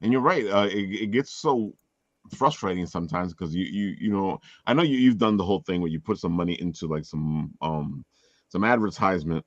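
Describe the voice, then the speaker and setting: A man's voice: low-pitched, a little fast, dry recording, dull audio. A man hosting a casual interview, agreeable and a little hesitant in how he phrases things. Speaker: host.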